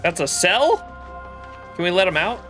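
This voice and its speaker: A man's voice: sits high, big pitch swings, normal speed, bright, forceful tone. A man livestreaming a game with casual, jokey, profane commentary, sometimes reading dialogue aloud into a close mic. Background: game music.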